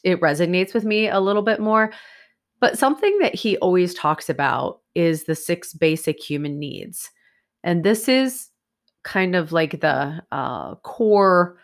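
Stuffy-nosed woman hosting a podcast. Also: low voice. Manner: chatty, rambling, many fillers. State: stuffy, nasal from a sinus infection. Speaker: podcast host. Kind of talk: casual solo podcast. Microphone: new USB microphone.

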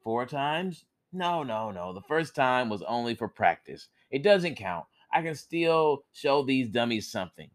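A man's voice: medium pitch, big pitch swings, a little slow, hardly any pauses. A man voicing a boastful, foolish man from a folktale who turns whiny and pleading.

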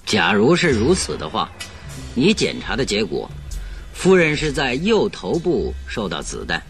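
An actor playing a sharp, composed detective in a radio drama, speaking in a measured, questioning and authoritative way. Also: male voice, medium pitch, wildly swooping pitch, vocal fry, soft background music, full character voice. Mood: pressing.

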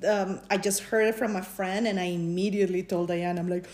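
A middle-aged woman from Peru co-hosting a podcast, chatting excitedly and mixing English with Spanish. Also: mid-range voice, brisk. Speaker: podcast co-host. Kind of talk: bilingual casual chat.

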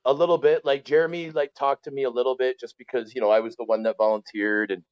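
A man in his forties with a Canadian accent, telling stories casually as a podcast guest, colloquial and animated, often checking that the listener follows. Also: mid-range voice, quick pace, dry recording, highs cut off. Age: 40s. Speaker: former pro hockey player, interview guest.

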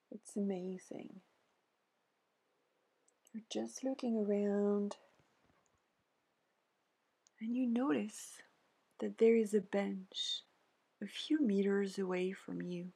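A woman leading a guided meditation in a soft, breathy, near-whispering voice, gently soothing, with pauses between short phrases. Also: medium pitch, steady tone. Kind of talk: guided meditation, scripted narration.

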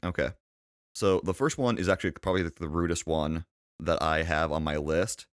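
A young man with a very deep voice co-hosts a casual podcast. He talks in a laid-back, dry way and pauses on fillers as he thinks. His voice sounds close and dry, with no room around it. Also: fairly even intonation, no echo. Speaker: podcast co-host.

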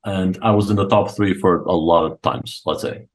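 Young adult male podcast guest from Croatia, lowish voice, informal and enthusiastic storyteller. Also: steady tone, moderate pace. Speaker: podcast guest, chess coach. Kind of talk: casual interview chat, storytelling.

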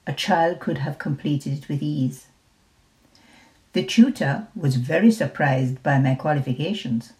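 A woman in her seventies reads her memoir aloud, slow and deliberate. Her voice sits low, with a soft, mellow warmth to it. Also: poor audio. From Jamaica. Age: 70s. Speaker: retired nurse. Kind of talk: reading a personal memoir.

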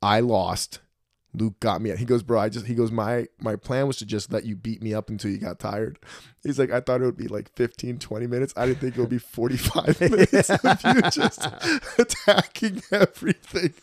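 A man in his thirties telling stories in a casual podcast chat, profane and animated. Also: mid-range voice, moderate pace, no echo, clean studio sound. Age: 30s. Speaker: podcast guest.

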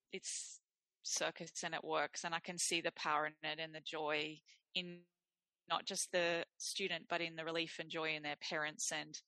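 A woman's voice: sits low, sharp tone, no room sound. A woman talking earnestly and reflectively, searching for her words as she goes in an informal interview style. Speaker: social circus practitioner.

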